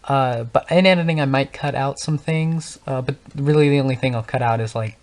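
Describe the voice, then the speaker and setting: A man's voice: medium pitch, brisk. Young man rambling and stuttering over gameplay. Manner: stutters and stumbles over words; rambling, thinks aloud with many fillers. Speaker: gaming channel host. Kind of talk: unscripted casual talk over gameplay.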